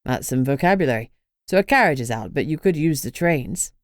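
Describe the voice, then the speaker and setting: A woman reading the part of a gruff, stern male vampire who keeps a portal, speaking in a dry, matter-of-fact way. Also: very deep voice, expressive, fairly quick, dry recording, voice pushed down.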